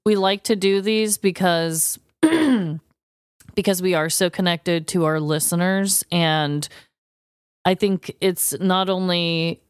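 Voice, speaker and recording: Smooth, low-voiced woman podcaster. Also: takes it slowly, dry recording, clean studio sound. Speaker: podcast co-host. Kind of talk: casual conversational podcast talk.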